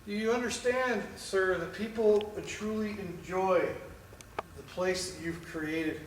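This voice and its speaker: A man investigating a haunted theatre, calling out slowly and politely to a spirit and asking it for a sign. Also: sits high, slight background noise. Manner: slow, coaxing and polite. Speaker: paranormal investigator. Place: large old theatre, indoors. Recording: echoey hall from far off.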